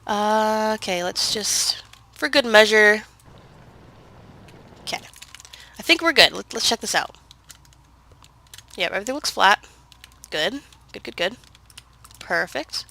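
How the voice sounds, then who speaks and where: A woman's voice: medium pitch, animated, takes it slowly, creaky, long pauses, bright, forceful tone. A woman with a head cold, sounding congested, chatting casually through gameplay with lots of fillers and self-corrections. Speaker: gaming video creator.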